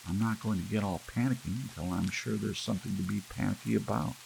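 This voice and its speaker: A man's voice: lowish voice, quick pace, gravelly, a little mellow, a little hiss or hum, voice pushed up, low-quality recording. A man voicing a loving, protective wife in a storybook, tender but insistent. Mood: firm.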